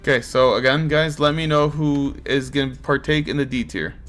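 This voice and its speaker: A man's voice: mid-range voice, normal speed, a bit bright, soft background music. A man with a Portuguese accent hosting a card game tournament on a live stream, casually chatting and answering viewers' comments. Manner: easygoing, chatty, reacts to chat.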